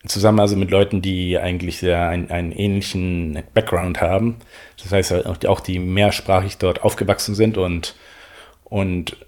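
Low-voiced halting Spanish-native man. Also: darkish tone. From Venezuela. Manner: halting, searching for words with many restarts. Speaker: interviewee. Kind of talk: casual interview answers.

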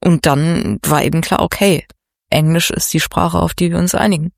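A woman's voice: low voice, fairly even intonation, moderate pace, gravelly, dry recording, clean studio sound. A woman speaking casually as an interview guest from an art collective.

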